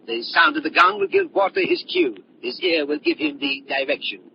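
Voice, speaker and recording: A sinister old doctor of a 1930s radio drama, commanding and proud of himself. His voice is fairly high and swings freely in pitch. The old recording is dull, with some noise. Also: wildly swooping pitch, fairly quick, gravelly, exaggerated voice. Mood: showy, commanding.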